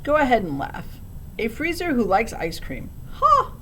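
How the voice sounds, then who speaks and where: A woman's voice: huge pitch swings, measured pace, bright, forceful tone, full character voice, rough recording. Woman reading as a self-deprecating young schoolboy. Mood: resigned. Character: shy, dry young boy who freezes things. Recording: low rumbling background noise.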